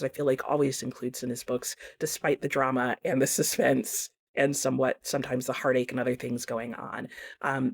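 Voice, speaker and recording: Casting: female book reviewer, lowish pitch, informal and conversational, talking straight to viewers. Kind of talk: casual chatty book review to camera.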